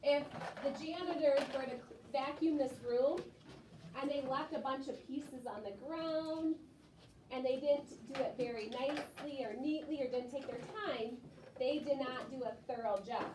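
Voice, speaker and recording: A woman's voice: high-pitched, normal speed. A woman teacher talking to young children in a classroom, explaining things simply and rephrasing as she goes. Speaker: elementary school teacher. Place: classroom, away from the mic. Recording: echoey classroom from far off, clearly noisy.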